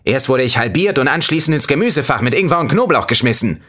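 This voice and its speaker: A man voicing a furious, insulting female lemon who mocks in a shrill, manic way, as a storybook figure. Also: lively intonation, fast, fairly bright tone. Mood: scornful rant.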